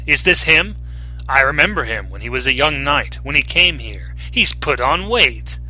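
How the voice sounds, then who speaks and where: A man's voice: mid-range voice, sing-song, moderate pace, sharp tone, soft background music, heavily acted, rough recording. A man voicing a large, menacing peasant husband in a fantasy story, sneering and taunting. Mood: sneering menace. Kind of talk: dramatic fantasy audiobook reading.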